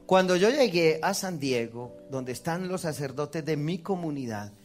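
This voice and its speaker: A Colombian man with a somewhat high voice, preaching as a priest with a Colombian Spanish accent. He tells his testimony like a story, acting out the other people's lines and laughing at himself. Faint music plays behind him. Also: animated, normal speed.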